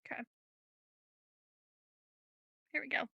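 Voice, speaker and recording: A woman's voice: rapid-fire, bright, forceful tone, no room sound, lo-fi sound. A woman chatting casually as a player in a tabletop game.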